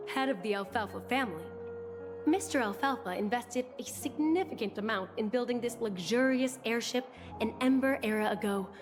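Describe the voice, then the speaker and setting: A woman voicing a formal, deferential airship attendant in a video game, courteous and measured. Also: on the high side, long reverb tail, lightly acted.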